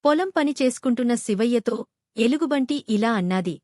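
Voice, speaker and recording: Lady reading a kids moral story, voice a bit high, really dry recording. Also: lively intonation, brisk, warm tone, dry recording. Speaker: narrator. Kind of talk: scripted children's moral story narration.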